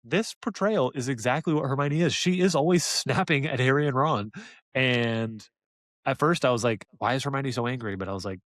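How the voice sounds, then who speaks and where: A man's voice: mid-range voice, moderate pace, a bit bright, no room sound. Man chatting on a dry-sounding podcast. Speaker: podcast co-host. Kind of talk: casual review discussion.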